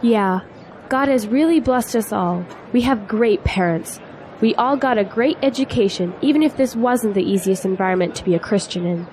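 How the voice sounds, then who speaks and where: A woman's voice: on the high side, normal speed, darkish tone, lightly acted. An actress playing a spirited young female graduate in an audio drama, teasing yet earnest. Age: young adult. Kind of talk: scripted audio drama dialogue. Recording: faint noise, outdoor crowd ambience.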